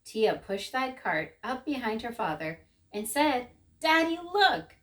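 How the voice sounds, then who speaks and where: A woman's voice: a little high, animated, measured pace. A woman narrating a children's story expressively, with rhythmic, dramatic emphasis.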